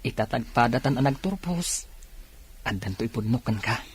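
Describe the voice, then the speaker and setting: A man's voice: medium pitch, expressive, moderate pace, slightly rough. A man playing a humble, good-natured eldest son, a young new policeman, in a radio drama with a Filipino Ilocano accent. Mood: earnest. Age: young adult.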